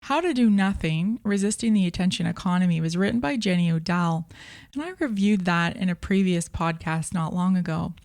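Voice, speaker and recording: A woman presenting a scripted podcast review in a measured, clearly phrased way as the host. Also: on the low side, darkish tone, no echo, clean studio sound.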